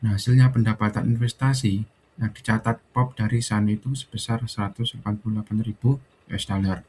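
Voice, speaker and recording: A man's voice: on the low side, steady tone, fairly quick, a little mellow. A young man giving an explanatory student presentation, often adding little check-in tags. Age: young adult. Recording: faint background noise.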